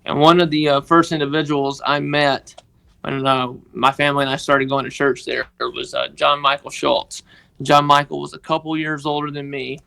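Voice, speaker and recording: A man with a Mississippi accent, telling a personal story on a podcast in a halting, earnest way. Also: fairly high pitch, level tone, creaky, sharp tone, smooth. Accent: Southern US, Mississippi. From northeast Mississippi, United States. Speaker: podcast guest, organization member telling his story.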